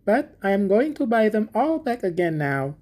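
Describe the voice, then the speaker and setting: A woman's voice: mid-range voice, very animated, moderate pace, darkish tone, pitched above the performer's own voice. A woman voicing a small, kind, poor young male gardener who talks eagerly and humbly, in a storybook reading. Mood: humble, grateful.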